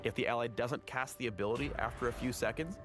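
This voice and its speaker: A man's voice: medium pitch, quick pace. A man reading scripted narration for a game guide in a polished, instructional manner with light quips. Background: background music.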